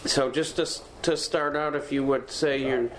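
A man's voice: mid-range voice, fairly even intonation, normal speed. A man conducting an oral history interview, asking short prompting questions. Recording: roomy room with faint noise.

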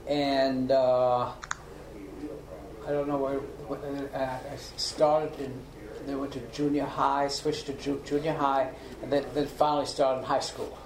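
Halting older Austrian-born man, roomy sound. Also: medium pitch, flat delivery, background noise. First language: German. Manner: halting, repeats words as he recalls. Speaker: interviewee. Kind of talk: oral history recollection. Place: home kitchen. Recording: roomy home recording.

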